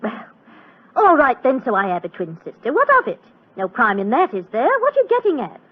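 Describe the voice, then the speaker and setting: A defiant, frightened young stage assistant in an old radio play. Her voice is highish and husky, swinging with emotion, curt and defensive. It comes through a thin, narrow vintage broadcast recording. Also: wildly swooping pitch, brisk. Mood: defiant, wary. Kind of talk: 1940s radio drama acting.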